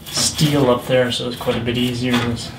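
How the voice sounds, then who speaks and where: A man's voice: medium pitch, little pitch movement, moderate pace. Guy doing diy tutorial, plain step by step talk, noisy recording. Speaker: host of a home repair tutorial. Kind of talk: how-to narration and muttering while working.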